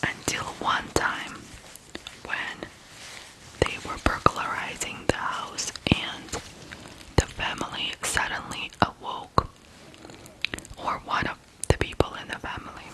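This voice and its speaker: A person from Ukraine telling stories in whispered ASMR style, softly and deliberately, pausing between short phrases. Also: low-quality recording. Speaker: ASMR true-crime host.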